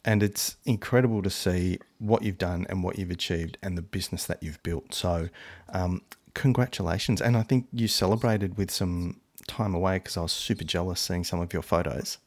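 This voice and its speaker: A man's voice: low voice, moderate pace. A man hosting a podcast interview, friendly and encouraging. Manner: friendly, encouraging, many fillers. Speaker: podcast host.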